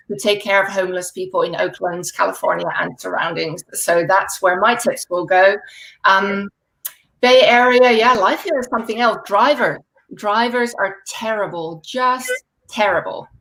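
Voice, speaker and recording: A woman in her forties, raised speaking Dutch in the Netherlands, doing a stand-up set over a video call with dry deadpan delivery and laughing asides. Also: mid-range voice, a little hiss or hum, rough recording. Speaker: stand-up comedian.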